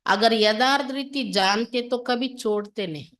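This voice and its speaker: A woman's voice: fairly high pitch, measured pace, fairly bright tone. A woman from India giving a religious class, reading scripture aloud, then explaining it instructively with repetition and rhetorical questions.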